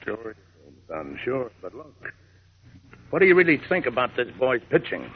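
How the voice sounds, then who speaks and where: An actor playing a manipulative bigot gang boss, oily and falsely friendly with menace, in 1940s radio drama style. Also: male voice, mid-range voice, huge pitch swings, normal speed. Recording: muffled, noisy 1940s radio recording.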